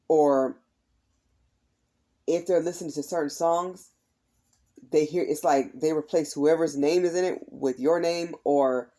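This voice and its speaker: Woman tarot reader, slightly raspy, mellow dark tone, chatty and direct with viewers. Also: extremely low pitch. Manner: chatty, repeats "is what I'm hearing". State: voice tired from heavy use. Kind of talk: casual tarot reading to viewers.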